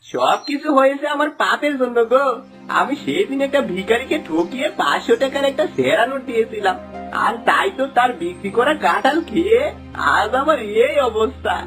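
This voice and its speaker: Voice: mid-range voice, expressive, normal speed, fairly bright tone, natural voice. Actor as greedy male villager, plaintive, remorseful, begging, cartoon folktale, music underneath. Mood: ashamed confession. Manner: plaintive, pleading. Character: greedy villager man, remorseful, pleading, self-pitying. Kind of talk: Bengali moral folktale cartoon dialogue.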